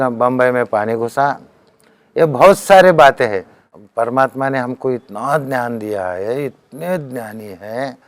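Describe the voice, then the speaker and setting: A man's voice: mid-range voice, dry recording. A man with a Deccan Hindi accent giving a spiritual discourse as a teacher, telling accounts earnestly and quoting speech as he goes.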